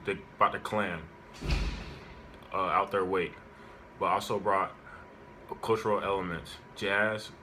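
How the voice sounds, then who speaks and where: Low-pitched man reading an informative speech. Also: bright, forceful tone, a little hiss or hum. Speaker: student speaker giving an informative speech. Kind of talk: prepared informative speech, read aloud.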